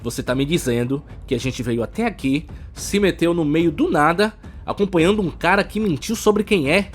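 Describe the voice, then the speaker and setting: A man's voice: on the high side, moderate pace, pitched above the performer's own voice, close to an everyday voice. A man voicing an easygoing young brother, practical and casual, as an audiobook character, with music behind. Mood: disbelieving. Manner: casual, animated.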